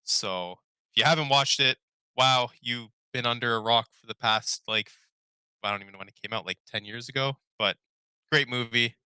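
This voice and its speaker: A young man talks casually as a podcast co-host, with a very bright, punchy edge and a clean, smooth tone. His delivery is informal and loose, drifting as he hunts for words. The sound is dry, with hardly any room on it. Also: medium pitch, moderate pace, no room sound. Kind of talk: casual chat about favorite movies.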